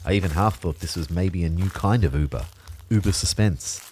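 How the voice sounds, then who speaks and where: A man's voice: low voice, moderate pace, darkish tone, a little hiss or hum. A man playing an anxious, introspective man who narrates his own story in a reflective, wry way for an audio drama. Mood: wry, uneasy. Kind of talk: first-person scripted audio drama narration.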